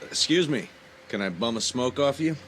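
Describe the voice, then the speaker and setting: An actor in an audio drama playing an idealistic, defensive researcher on the run. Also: male voice, medium pitch, animated, normal speed, a little hiss or hum, close to an everyday voice. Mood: guarded, defensive. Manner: earnest, defensive. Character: defensive, idealistic fugitive male lab researcher. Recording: music under the voice.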